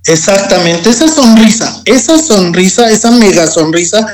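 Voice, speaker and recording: A man's voice: sits high, animated, moderate pace. A man with a Mexican accent giving a personal testimony in conversation, reflective and repeating phrases for emphasis. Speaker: guest telling a personal testimony.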